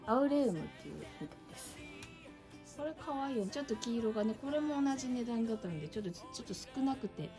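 A woman's voice: fairly high pitch, expressive, fast talker, over music. Chatty middle-aged woman showing her plants. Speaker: succulent channel vlogger. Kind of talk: casual chat. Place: indoor entryway with a shelf.

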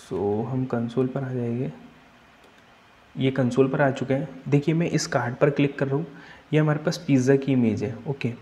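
A man's voice: mid-range voice, moderate pace. A young man teaching web coding over a screen recording, walking through each step and thinking aloud as he types. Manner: steady step-by-step explaining, thinks aloud while typing. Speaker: coding tutor.

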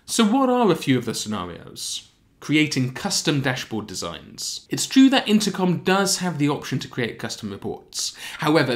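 A man in his thirties giving a scripted explainer, step by step, with deliberate emphasis. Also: mid-range voice. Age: 30s. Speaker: presenter of a software tutorial. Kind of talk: scripted explainer narration to camera.

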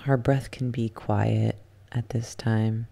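A soft-spoken meditation guide leading an ASMR yoga nidra session in a soothing, unhurried manner with long pauses. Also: mid-range voice, fairly even intonation, creaky.

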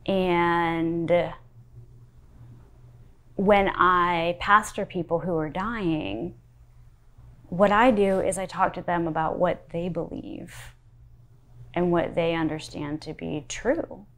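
A woman pastor in her forties speaks slowly and deliberately, her voice sitting a little low. She weighs her words in a calm sit-down interview, picked up close by a lapel mic in a quiet study. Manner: thoughtful, measured, unhurried. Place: wood-panelled study with armchair.

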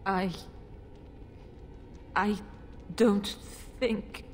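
A woman's voice: mid-range voice, fairly even intonation, extremely slow. An actress playing a frightened noblewoman in a fantasy game, a mother who speaks hesitantly and pleadingly. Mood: fearful. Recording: reverberant stone hall, faint noise.

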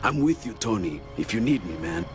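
A man's voice: lowish voice, big pitch swings, fairly quick, over music. A man acting a loyal, anxious small-time drug dealer in a video game, talking in a streetwise, confiding way.

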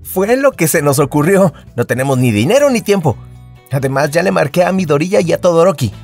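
A man playing an excitable, scheming, upbeat teenage hero student in a comic fandub, eager and wheedling. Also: fairly high pitch, fairly quick, soft background music. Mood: persuading.

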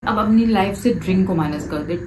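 Woman giving lively advice. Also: mid-range voice, fairly quick, roomy sound, slight background noise, rough recording. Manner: animated, gestures a lot with both hands; direct, coaxing advice to the viewer. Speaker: vlogger. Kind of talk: casual advice vlog. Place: living room, seated on a sofa.

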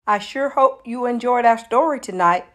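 A woman reading a picture book aloud to children as an expressive story hour narrator. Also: on the high side, moderate pace, dry recording, studio quality.

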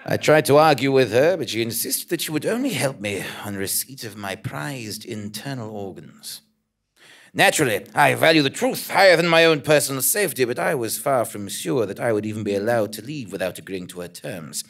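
A man playing an earnest, pompous investigative journalist, narrating his adventure theatrically with wordy, dramatic pauses on a small stage. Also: medium pitch, gravelly, fairly bright tone, heavily acted. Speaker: narrator in a comedy audio drama.

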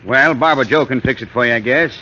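A man's voice: medium pitch, a little fast, bright, forceful tone, natural voice. A man playing an earnest, neighbourly colonial townsman in a 1940s radio drama, folksy and laconic. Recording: muffled, noisy 1940s radio recording.

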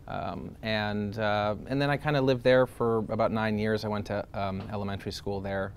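A man's voice: fairly low pitch, steady tone, normal speed, a little hiss or hum. A man in his thirties, born in Greece, talking casually in an interview with lots of fillers, restarts and laughs. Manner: rambling, many fillers and restarts, laughs often.

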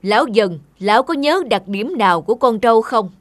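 A man's voice: sits high, animated, fairly quick, no echo, clean studio sound. A man playing a stern, shrewd magistrate with a formal, authoritative manner in a cartoon folk tale. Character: stern, shrewd male mandarin judge.

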